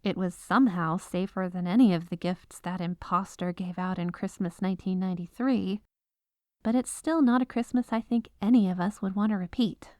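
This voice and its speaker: A woman's voice: medium pitch, steady tone, moderate pace, mellow, no room sound, clean studio sound, close to an everyday voice. A woman playing an exasperated, businesslike head lawyer at the North Pole in a scripted comedic audio roleplay. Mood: businesslike, explaining. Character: exasperated, dutiful head legal advisor at Santa's North Pole.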